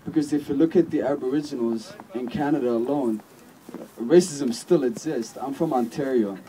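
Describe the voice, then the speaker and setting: Young guy from the states talking at a rally, mellow tone, mic kinda far off. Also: medium pitch, moderate pace, slight background noise. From United States. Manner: personal, questioning, casual "like" fillers. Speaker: student speaking at a rally. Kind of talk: personal rally speech. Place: outdoor rally in a park.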